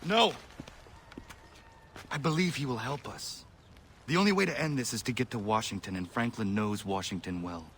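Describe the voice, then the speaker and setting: A man voicing a resolute warrior speaks in short, determined lines. His voice sits mid-pitch. A faint noise floor is heard. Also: normal speed, long pauses, fairly bright tone, lightly acted. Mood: firm. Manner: terse, determined. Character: resolute male warrior protagonist, terse.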